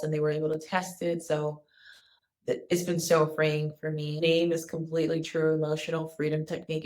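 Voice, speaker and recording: A woman talking to camera in a casual personal vlog, calm and earnest, with a soft voice she calls calming. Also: low voice. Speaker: wellness vlogger.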